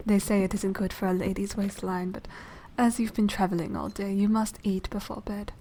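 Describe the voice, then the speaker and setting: A woman playing a polite, secretive young noble vampire lady in a soft, half-whispered ASMR roleplay, speaking formally and with measured care. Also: medium pitch, fairly even intonation, natural voice. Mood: polite, slightly evasive. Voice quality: soft, breathy whispering edge. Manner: hushed, formal, measured, genteel.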